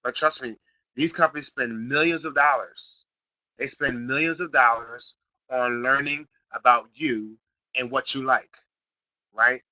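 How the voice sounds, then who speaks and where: A middle-aged man from Philadelphia hosting a live online marketing class, slightly raspy from a sniffle, repeating himself and building to emphatic points. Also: medium pitch, fairly even intonation, unhurried, sharp tone, no room sound, lo-fi sound. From Philadelphia, Pennsylvania. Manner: repetitive, restarts phrases, builds to emphatic points. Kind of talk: live teleseminar class, casual coaching.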